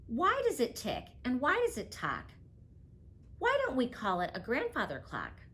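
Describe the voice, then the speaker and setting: A woman's voice: on the high side, huge pitch swings, unhurried, fairly bright tone, pitched above the performer's own voice, exaggerated voice. A woman voicing a curious little girl full of questions, in an eager, questioning lilt, reading a storybook aloud.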